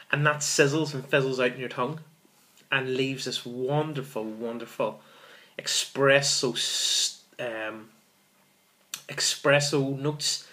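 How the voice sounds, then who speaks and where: A man's voice: mid-range voice, pushed and bright. A man in his thirties hosting a casual beer review vlog, enthusiastic and repetitive, often calling viewers folks, filmed in a small room on a camera in front of him. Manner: enthusiastic, repeats words, calls viewers folks. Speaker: beer reviewer, channel host.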